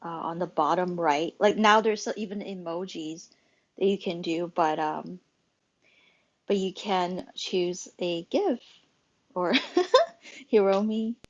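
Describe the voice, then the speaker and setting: A woman moderates a casual online audio room at a slow pace. She thinks aloud in a halting, rambling flow. The sound is slightly dull and lo-fi, like an internet voice chat. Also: fairly low pitch. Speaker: host and moderator of a live social audio room. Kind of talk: casual unscripted group chat. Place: live social audio app call.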